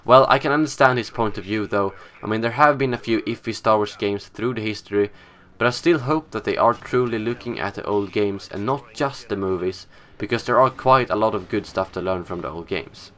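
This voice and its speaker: A man's voice: on the low side, a little fast, hardly any pauses, a bit bright. A young man whose first language is Swedish, hosting a casual, opinionated gaming news show in a scripted style.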